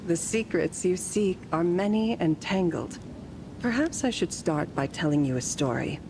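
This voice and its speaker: A woman voicing an ancient, serene female guardian in a story game, with formal, measured, gently teasing phrasing. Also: lowish voice, lively intonation, background noise. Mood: solemn, wistful.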